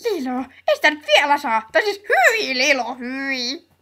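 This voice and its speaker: A woman voicing a frazzled, hot-tempered young guardian in a comedy cartoon dub, excitable and blustering. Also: high voice, heavily acted. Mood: flustered, evasive. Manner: excitable, blustering, foul-mouthed.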